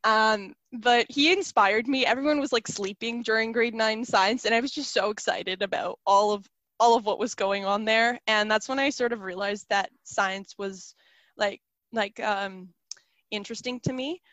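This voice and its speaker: A woman's voice: fairly high pitch, moderate pace, a bit bright, dry recording. A young woman from South Africa whose first language is Serbian, chatting casually as an interview guest, with lots of "like" and "um". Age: young adult. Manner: chatty, many fillers like "like" and "um".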